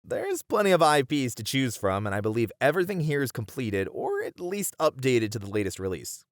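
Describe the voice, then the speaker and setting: A man's voice: medium pitch, sing-song, a little fast, no echo, studio quality, close to an everyday voice. A man voicing a friendly, bashful bunny man who chats helpfully to the listener in an ASMR roleplay. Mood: enthusiastic, playful. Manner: chatty, helpful, easily flustered, breaking into chuckles and hesitations. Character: friendly, bashful bunny man, bookish manga cafe regular. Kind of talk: ASMR roleplay, one-sided conversation with the listener.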